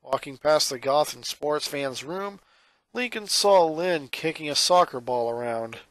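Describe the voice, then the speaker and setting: A man's voice: on the high side, lively intonation, a little slow, a bit bright, no room sound. A man reading a piece of fan fiction aloud as scripted story narration.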